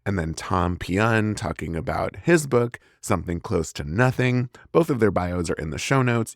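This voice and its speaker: A man with an American accent and a very low voice that has a gravelly edge. He talks as an enthusiastic podcast host, chatty and effusive, in a dry, close recording. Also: dry recording. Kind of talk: scripted podcast intro and casual chat.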